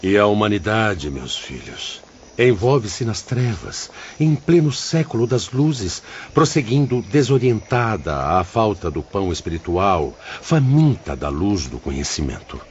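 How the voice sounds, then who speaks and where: A man's voice: fairly low pitch, very animated, a little slow, slight background noise. A man playing a grave, fatherly spirit mentor in an audiobook drama, speaking in a solemn, preacherly manner. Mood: grave, admonishing. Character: grave, fatherly male spirit mentor instructing his pupils. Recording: dull lo-fi audio under music.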